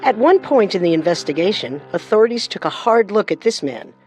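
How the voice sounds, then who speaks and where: Casting: female true-crime co-host, mid-pitched, scripted and dramatic, soft music bed. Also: sing-song, a little fast. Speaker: podcast co-host and narrator.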